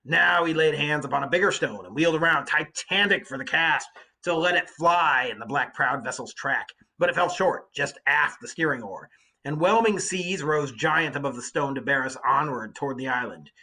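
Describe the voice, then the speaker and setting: A man's voice: a little high, moderate pace, bright, forceful tone, low-quality recording. A man reading literature aloud as a teacher, breaking off to explain it in an emphatic, colloquial way. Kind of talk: literature read aloud with informal commentary.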